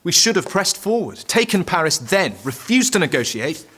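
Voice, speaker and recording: A man's voice: sits high, big pitch swings, normal speed, fairly bright tone, a little hiss or hum. An actor playing a frustrated medieval French king in an audio drama, formal, imperious and bitter. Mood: bitter, indignant. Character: frustrated, indignant young French king in the fifteenth century.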